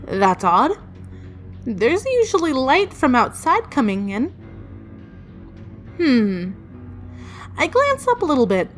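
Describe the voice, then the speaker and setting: Female storyteller, slightly high voice, chatty and informal with suspenseful dramatic pauses, faint music underneath. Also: wildly swooping pitch. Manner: casually sweary. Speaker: storyteller, video creator. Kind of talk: casual personal storytelling.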